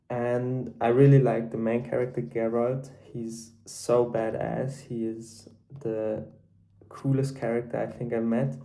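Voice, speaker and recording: A man's voice: medium pitch, dark tone. A sixteen-year-old boy from Switzerland with a Swiss German accent, a native German speaker reviewing books in hesitant, casual talk full of restarts. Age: teens, 16. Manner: hesitant, with many restarts and repeated words. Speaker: book vlogger. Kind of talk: casual unscripted book review.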